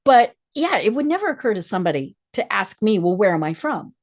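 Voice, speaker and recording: A woman's voice: medium pitch, big pitch swings, brisk. A woman hosting an interview, chatty and affirming, who grew up in Colorado. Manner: frequent affirming backchannels, chatty. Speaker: podcast host. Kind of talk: conversational interview.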